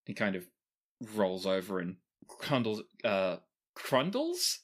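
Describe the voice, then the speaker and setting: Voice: low voice, wildly swooping pitch, takes it slowly. A game master improvising narration for a tabletop game in a conversational, descriptive way.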